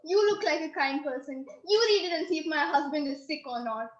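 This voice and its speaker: A woman's voice: high-pitched, animated, a little fast, highs cut off. A woman playing a pushy, self-pitying petitioner in a comic play, insistent, plaintive and melodramatic. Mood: insistent, indignant. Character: pushy, wailing, self-pitying wife petitioning officials, relentlessly stubborn. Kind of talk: comic stage-play acting. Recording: roomy, band-limited recording.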